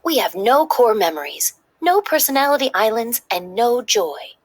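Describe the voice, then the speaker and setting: A woman's voice: on the high side, very animated, moderate pace. A woman voicing a grumpy, hot-tempered male storybook character, grumbling with sarcasm. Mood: exasperated.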